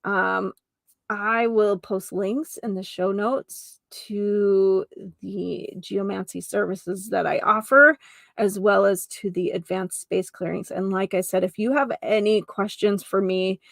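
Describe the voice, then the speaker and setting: A woman's voice: mid-range voice, fairly even intonation, slow pace, gravelly, no room sound, studio quality. A woman hosting a solo healing podcast, speaking to listeners in an earnest, effusive manner and stressing key words. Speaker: host of a holistic healing podcast; geomancer and energy healer.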